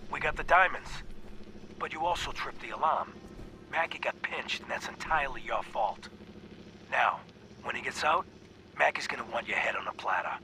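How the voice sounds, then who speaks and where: An actor playing a cocky, menacing crime boss dictating messages in a video game, theatrical and sardonic with emphatic pauses. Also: male voice, bright, forceful tone. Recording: noisy taped message with rumbling ambience.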